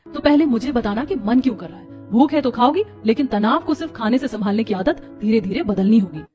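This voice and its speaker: A woman playing a protective elder sister-in-law in a cartoon, calm, firm and guiding. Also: a little high, a little fast, faint music behind, lightly acted.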